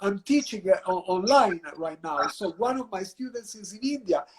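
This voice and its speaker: A man's voice: high voice, lively intonation, moderate pace. Casting note: Italian-accented male professor, explains in long winding sentences, faint noise behind. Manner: lecturing, discursive with restarts. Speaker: university professor, guest. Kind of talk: guest answering in an interview.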